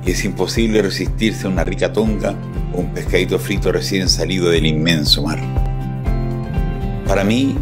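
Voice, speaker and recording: An older man with a Chilean accent and a very low voice narrates in a slow, heartfelt and reflective way. Clear background music plays under his voice-over. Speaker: testimonial narrator.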